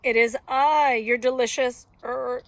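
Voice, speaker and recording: A woman voicing a storybook wolf who pretends to be someone sweet, in an exaggerated sugary falsetto. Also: steady tone, unhurried, no room sound. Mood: falsely sweet. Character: wolf posing as sweet granny or little girl.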